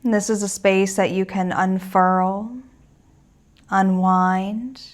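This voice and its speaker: A woman teaching a guided meditation in a gentle, soothing and reassuring manner. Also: mid-range voice, fairly even intonation, slow pace.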